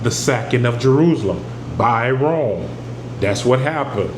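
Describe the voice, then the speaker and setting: A man's voice: mid-range voice, lively intonation, measured pace. Preaching man in an echoey room. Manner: reads verses then explains them in plain talk. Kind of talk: scripture teaching. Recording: echoey room with air conditioning hum.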